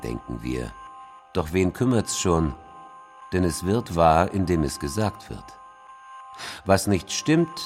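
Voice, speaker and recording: A man narrating and announcing a radio play in a theatrical, deliberate scripted style. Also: deep voice.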